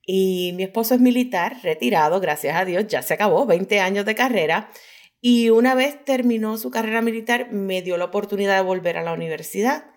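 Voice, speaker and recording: A woman's voice: medium pitch, brisk. A middle-aged woman with a Puerto Rican accent, telling her story conversationally as an interview guest and mixing in English phrases. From Puerto Rico. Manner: mixes English phrases into Spanish. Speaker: guest, pediatric dental hygienist.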